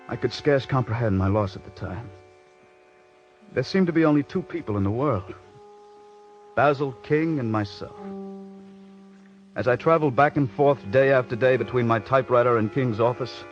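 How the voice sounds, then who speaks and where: A man acting as an embittered, stubborn writer in a golden age radio mystery. He speaks with brooding intensity in a medium-pitched voice. The old recording is dull, with music around it. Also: natural voice. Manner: earnest, brooding, dramatic storytelling delivery. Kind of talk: 1940s radio drama acting and first-person narration.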